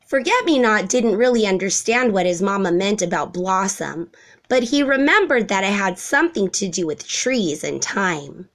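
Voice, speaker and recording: Woman reading aloud with lively intonation. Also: mid-range voice, normal speed. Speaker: storybook reader. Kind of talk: expressive children's read-aloud.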